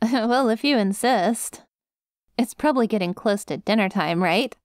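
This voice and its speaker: A woman's voice: on the high side, lively intonation, measured pace, a little mellow, no room sound, clean studio sound. A woman voicing a friendly, scatterbrained dog-girl student in an ASMR roleplay, with a casual, rambling, easily sidetracked manner. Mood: apologetic, friendly. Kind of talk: ASMR roleplay, one-sided conversation with listener.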